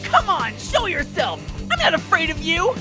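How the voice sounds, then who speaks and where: A woman's voice: very high voice, wildly swooping pitch, normal speed, music in the background, exaggerated voice. A woman voicing a brash, boastful young male pegasus pony in a fan-fiction audio story, with casual, slangy speech. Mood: angry, challenging.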